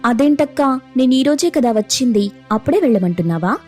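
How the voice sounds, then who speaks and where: A woman's voice: high voice, expressive, a little fast, dark tone, faint music behind, voice pushed up. A woman voicing a mischievous, teasing young woman in a playful, sometimes whiny way for a story. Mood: protesting. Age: young adult.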